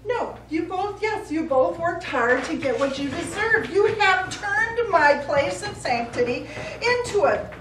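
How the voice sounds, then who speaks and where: Actress as grandiose, touchy woman painter in a stage comedy. Slow, swooping delivery, roomy distant recording. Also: extremely high pitch, lively intonation. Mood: indignant. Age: middle-aged. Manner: grandiose, florid, drawn-out delivery. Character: theatrical, easily offended woman artist selling her paintings. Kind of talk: live stage play acting. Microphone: far camera. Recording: roomy stage, distant camera mic, noisy.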